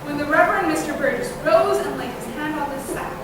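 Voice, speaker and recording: A woman's voice: sits high, fairly quick, natural voice. A woman reading scripted narration on stage in a reader's theatre play. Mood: descriptive. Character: storybook narrator describing a town meeting. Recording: very echoey, noisy, distant stage recording.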